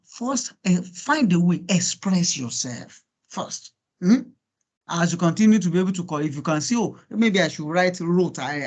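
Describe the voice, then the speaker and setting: A Nigerian man, a native Yoruba speaker, coaching students in an informal workshop with an encouraging, repetitive manner and rhetorical questions. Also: sits high. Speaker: guest speaker coaching students on LinkedIn profiles.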